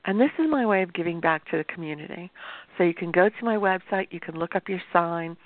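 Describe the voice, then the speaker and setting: A woman astrologer hosting a show, talking to viewers in a chatty, explanatory way, with a sore throat that makes her voice gravelly. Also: lowish voice, fast, dry recording. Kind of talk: informal talk-show monologue. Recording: tinny phone-like dry sound.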